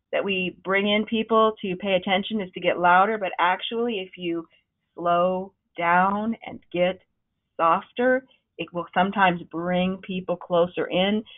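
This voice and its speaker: Woman on tinny webinar audio. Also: fairly low pitch. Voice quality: loud, projecting voice. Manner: conversational, encouraging, with frequent ums. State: voice starting to wear out. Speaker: presentation trainer. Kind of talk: webinar talk. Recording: narrow, phone-like webinar audio.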